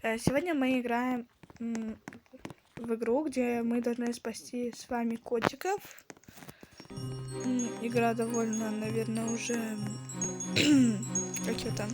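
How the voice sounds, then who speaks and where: A hoarse woman chatting casually as she plays a video game for her channel, exclaiming when things go wrong. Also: a little high, flat delivery, slow, long pauses, over music. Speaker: gaming channel host.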